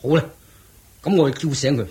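A man acting a prince's loyal, impatient manservant in a radio drama, excitable and colloquial. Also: medium pitch, fairly quick, lightly acted. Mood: impatient, urging. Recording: echoey radio drama recording.

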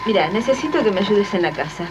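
A woman's voice: medium pitch, animated, fast, close to an everyday voice. A woman playing a bossy, manipulative Argentine employer's wife in a 1980s radio drama, imperious and impatient. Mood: imperious, threatening. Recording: dull, noisy 1980s archive radio tape.

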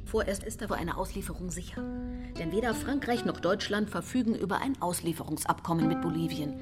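A woman's voice: lowish voice, fast, over music, natural voice. An actress playing a determined Nazi hunter who recounts her mission soberly and precisely, as scripted radio drama narration.

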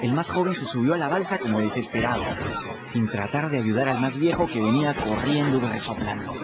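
A man with a Peruvian accent narrating a story in the first person in an expressive, storytelling manner. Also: medium pitch, brisk, heavy background noise. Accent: Peruvian Spanish. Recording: dull audio with music underneath.

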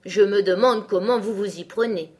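A woman's voice: mid-range voice, normal speed, a little mellow, close to an everyday voice. A woman voicing a haughty, blunt talking rose in a children's storybook, with a severe, condescending manner.

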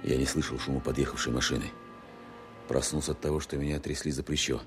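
An actor playing an attentive, businesslike Soviet counterintelligence officer in an audio drama, measured and matter-of-fact. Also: male voice, very deep voice, little pitch movement, loud music behind the voice. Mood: measured recounting. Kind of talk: audio drama narration and dialogue.

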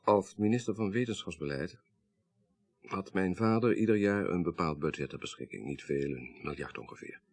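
A very low, rough-edged male voice with a Netherlands Dutch accent. He is a learned professor in a radio crime drama, grieving yet dryly ironic, explaining things in precise, lecturing phrases. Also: lively intonation, moderate pace. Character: grieving, articulate male professor, child of a murdered minister.

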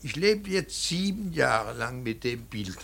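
An actor in a classic radio drama playing a brooding, devout older painter and stern father, speaking haltingly and emphatically, repeating his words. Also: mid-range voice, sing-song, vocal fry, a bit bright, heavily acted. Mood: agitated, halting.

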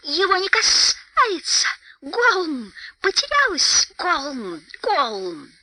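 A woman voicing a wretched, spiteful, greedy male cave creature, with drawn-out, repeated words and a hissing, whispery voice that can turn to shrieking. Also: extremely high pitch, huge pitch swings, pushed and bright, no echo, pitched above the performer's own voice, exaggerated voice, low-quality recording. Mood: frantic, wailing.

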